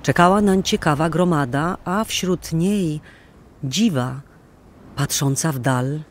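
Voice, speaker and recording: A woman's voice: sits low, slow pace, slight background noise. A woman narrating an old tale as scripted audio drama, in a solemn, theatrical manner.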